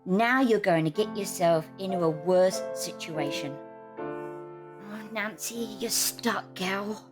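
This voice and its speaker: A woman's voice: medium pitch, slow, natural voice. Woman playing a blunt, streetwise friend over music. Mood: scolding, concerned. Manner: blunt, scolding. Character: streetwise, cautioning female friend, blunt and concerned. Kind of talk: audio drama acting.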